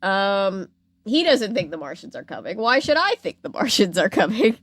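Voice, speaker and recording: A woman's voice: medium pitch, very animated, normal speed, no room sound. Casting: female podcast host, mid-range voice, casual and wry, close dry recording. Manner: wry, playful asides. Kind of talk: casual conversational storytelling with commentary.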